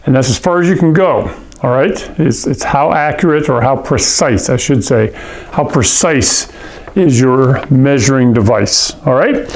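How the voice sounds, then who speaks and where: A man's voice: mid-range voice, unhurried. A man from Hawaii teaching a science lesson, explaining patiently and often checking in with "okay?" and "all right?". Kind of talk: classroom lecture.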